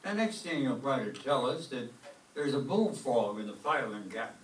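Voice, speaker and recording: An actor playing a stuffy, incredulous school official with a formal manner, in an old-time radio comedy. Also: male voice, mid-range voice, lively intonation, moderate pace, far from the mic, lightly acted. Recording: roomy stage, off-mic, faint noise.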